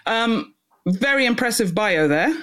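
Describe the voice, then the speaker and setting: A woman's voice: a little high, lively intonation, a little slow, fairly bright tone. A woman working as a podcast host, introducing and questioning a guest.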